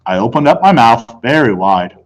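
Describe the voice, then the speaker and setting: An American man reading a children's novel aloud as a teacher, with expressive storytelling. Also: mid-range voice, moderate pace. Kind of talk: audiobook-style read-aloud of children's novel, first person.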